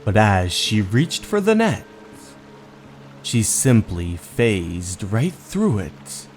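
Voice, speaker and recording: Deep-voiced, slow male horror narrator. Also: sing-song, slight background noise. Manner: theatrical, measured. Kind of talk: scripted dramatic horror story narration. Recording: voice over background music.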